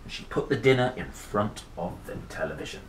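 A man in his thirties reading a picture book with playful, chatty asides and dramatic pauses. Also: fairly low pitch, lively intonation. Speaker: storyteller and host. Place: small wooden room or shed. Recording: roomy echo, faint background noise.

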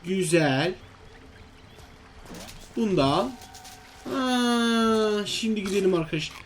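Male gaming host, high voice, chatty and full of exclamations and questions to himself, faint noise behind. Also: lively intonation, moderate pace. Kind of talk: casual let's-play commentary to viewers.

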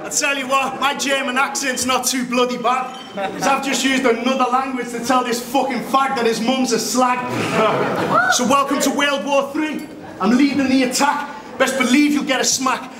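A young man with a Liverpool accent, delivering a cappella battle rap loudly and forcefully in a brash manner. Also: very high-pitched, flat delivery, moderate pace. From Liverpool, England. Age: young adult. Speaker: battle rapper. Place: crowded indoor club venue. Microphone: overhead boom. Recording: echoey venue with loud crowd.

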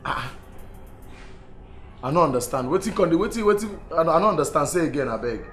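A man's voice: on the high side, expressive, fast, close to an everyday voice. A man with a Nigerian accent playing an evasive young boyfriend in a Pidgin comedy-drama, stammering and whining his innocence. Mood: confused, pleading. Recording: echoey room, music behind.

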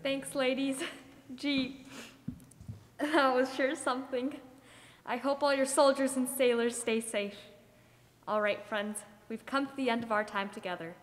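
Teen girl acting gracious 40s radio show lady, high pitched, big echoey hall. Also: steady tone, a little slow, pauses often. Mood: gracious, sincere. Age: teenager. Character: gracious 1940s woman radio show host. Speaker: student actor playing radio show host. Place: theater stage with microphones on stands. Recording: echoey hall stage pickup.

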